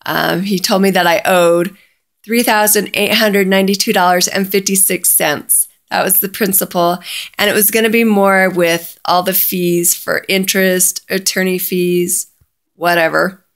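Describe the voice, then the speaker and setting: Chatty woman with a creaky voice. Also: medium pitch, fairly even intonation, unhurried. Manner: chatty, recounts dialogue by quoting both sides. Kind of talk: casual first-person storytelling.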